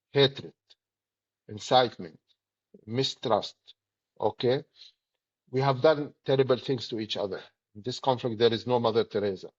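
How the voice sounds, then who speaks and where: A middle-aged man with a Palestinian Arabic accent, speaking earnestly and deliberately in short, emphatic phrases as an interview guest. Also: medium pitch, slightly rough, lo-fi sound. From Palestinian territories. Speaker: political activist, interview guest.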